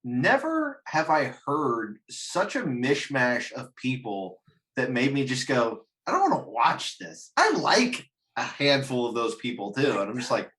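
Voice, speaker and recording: A man's voice: mid-range voice, big pitch swings, a little slow, fairly bright tone. A man hosting a casual podcast chat, dryly dismissive in manner. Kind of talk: casual chat about films and games.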